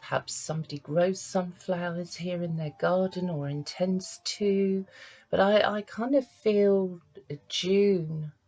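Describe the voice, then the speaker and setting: A woman reads cards as a psychic medium. She speaks unhurriedly, with a slightly low voice. She pauses often, as if searching for each impression. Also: fairly even intonation, a little mellow. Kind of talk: monthly astrology and card reading to camera.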